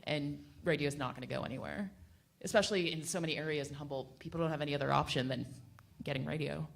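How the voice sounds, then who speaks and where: A woman's voice: low-pitched, quick pace, creaky. An American woman radio broadcaster talking casually in a panel discussion.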